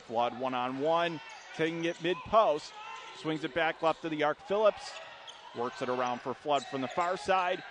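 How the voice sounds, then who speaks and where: A man's voice: on the high side, moderate pace. A man calling live radio basketball play-by-play in clipped running phrases, punching up the big plays. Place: indoor college basketball arena. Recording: noisy courtside gym broadcast. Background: gym noise, bouncing basketball.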